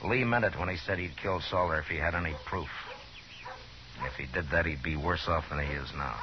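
An American actor playing a steady, world-weary frontier lawman in a 1950s radio Western, measured, grave and laconic. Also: rumbling low, a little hiss or hum. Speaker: lead actor and narrator. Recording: muffled old 1950s radio recording.

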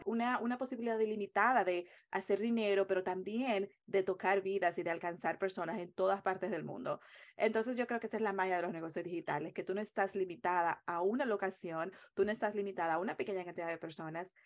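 Fast-talking Dominican woman. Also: medium pitch. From Dominican Republic. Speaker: business coach, interview guest. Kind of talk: conversational interview answers.

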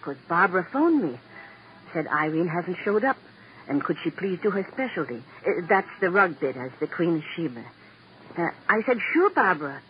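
An actor playing a sentimental, rambling booking agent with a folksy, plaintive manner in a 1950s radio drama. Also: male voice, high-pitched, sing-song, moderate pace. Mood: resigned, chatty. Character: sentimental, chatty male showbiz booking agent. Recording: muffled, hissy old radio recording.

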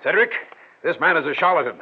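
A crooked, blustering small-town mayor from an old radio drama. His voice is fairly high and raspy, with sputtering delivery. The recording is thin, like a phone line. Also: expressive, fast talker. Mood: indignant, accusing.

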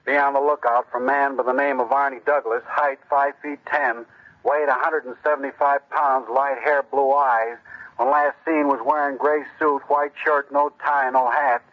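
Official police broadcaster, muffled vintage radio actor. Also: male voice, mid-range voice, fairly even intonation, normal speed, close to an everyday voice. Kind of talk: 1940s radio drama acting, police bulletin. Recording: muffled old radio recording.

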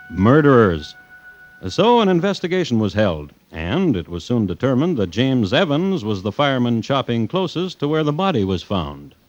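An actor playing a wry, folksy storyteller who narrates a radio tale in deliberate, suspenseful phrases. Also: male voice, mid-range voice, a little mellow, highs cut off, lightly acted.

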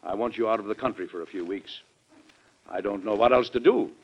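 An actor playing a dignified, worried American president and father in an old-time radio drama, formal and measured with some hesitation. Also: lowish voice, breathy edge. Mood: grave, regretful. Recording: dull, band-limited old radio recording.

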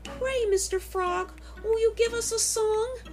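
A woman voicing a polite, sprightly little lady mouse from a storybook rhyme, in a genteel, drawn-out sing-song. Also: extremely high pitch, loud music behind the voice, voice pushed up. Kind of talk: storybook verse character voice.

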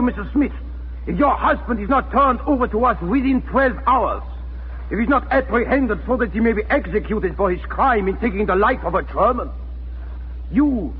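Casting: cold Nazi interrogator, formal and threatening, high animated voice, vintage radio drama. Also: male voice. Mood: cold menace. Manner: formal, deliberate, menacing. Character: menacing Nazi official threatening a wife, coldly formal. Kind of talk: 1940s radio drama acting. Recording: old 1940s radio, narrow, reverberant, noisy.

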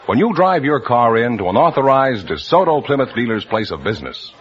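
A man announcing a radio quiz show and delivering a sponsor pitch in a polished, emphatic way. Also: big pitch swings, a little fast, breathy edge. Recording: lo-fi 1950s radio broadcast.

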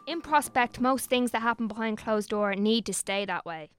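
A woman's voice: on the high side, moderate pace, faint music behind. A young woman narrating an audio drama in a wry, conspiratorial, sweary way.

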